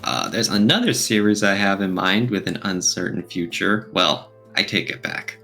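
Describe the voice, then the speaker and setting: Bright-voiced, low-pitched man chatting. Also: animated, normal speed, gravelly, soft background music. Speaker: podcast co-host. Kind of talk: casual podcast discussion.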